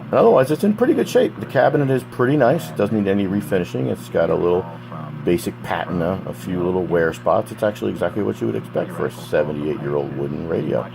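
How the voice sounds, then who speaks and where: Low-voiced American man, casual and rambling. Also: animated, fast, vocal fry. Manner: rambling, conversational, with asides. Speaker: hobbyist presenting a DIY project. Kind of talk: casual unscripted project vlog narration. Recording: background chatter and hum from playing radio. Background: old AM radio playing with a loud hum.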